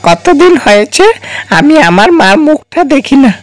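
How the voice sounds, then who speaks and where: A man's voice: extremely high pitch, very animated, moderate pace. A man voicing a friendly, pleading crow who was once human, in a fairy-tale cartoon. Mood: imploring. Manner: pleading, imploring.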